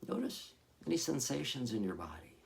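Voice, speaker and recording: A man leading a guided meditation as a hypnotherapist, giving gentle, soothing instructions with long pauses between phrases. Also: medium pitch, very animated, creaky.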